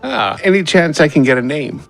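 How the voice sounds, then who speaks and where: A man roleplays at a tabletop game in a fairly high voice full of creak. His delivery is casual and halting yet varied in pitch. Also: big pitch swings, a bit bright, faint music behind.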